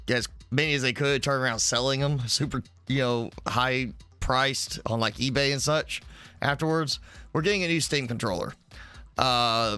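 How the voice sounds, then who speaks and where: Guy with a cold live streaming, bright clear voice, keeps clearing his throat, faint music behind. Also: mid-range voice. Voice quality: throat-clearing, slightly stuffy. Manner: rambling, filler-heavy explaining. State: congested from a head cold. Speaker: game streamer. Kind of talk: casual live stream chat.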